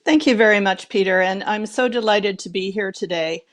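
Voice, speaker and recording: A middle-aged woman on a video call with earphones, giving measured, articulate opening remarks as a panelist from a room at home. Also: mid-range voice. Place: home room with shelves, indoors.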